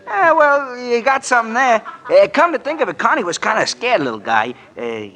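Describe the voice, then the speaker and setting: A jittery, chatty barkeep from a 1950s radio crime drama. His voice is very high and piercingly sharp, and it swoops about as he stammers slangy, placating words to keep out of trouble. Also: sing-song, moderate pace, exaggerated voice. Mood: chatty, sly. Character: nervous, fat, chatty barkeep of a skid row dive. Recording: old AM radio drama recording.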